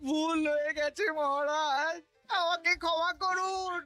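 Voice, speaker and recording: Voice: very high-pitched, steady tone, slow pace, sharp tone, soft background music, exaggerated voice. An actor voicing a scheming male royal minister in a cartoon, whining and grovelling. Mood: tearful pleading. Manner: whining, pleading. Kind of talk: cartoon voice acting.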